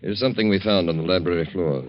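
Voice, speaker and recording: Very deep-voiced police detective played by a man, old radio. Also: natural voice. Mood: businesslike. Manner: measured, matter-of-fact. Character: businesslike police detective questioning a witness. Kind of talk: old-time radio drama acting. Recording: dull muffled old radio recording.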